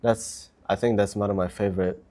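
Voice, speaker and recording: A man's voice: lowish voice, fairly even intonation, brisk, warm tone. A man from Japan, a native Japanese speaker with a Japanese accent, chatting casually and enthusiastically as a guest. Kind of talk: casual chat.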